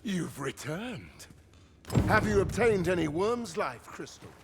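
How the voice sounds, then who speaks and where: An actor playing a haughty, excitable, obsessive inventor in a video game cutscene, speaking theatrically in archaic phrases. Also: male voice, high-pitched, slow pace, heavy background noise, heavily acted. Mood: brisk, condescending. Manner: theatrical, archaic diction, swinging from muttering to exclaiming. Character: obsessive, haughty, excitable male scholar-inventor of magical devices.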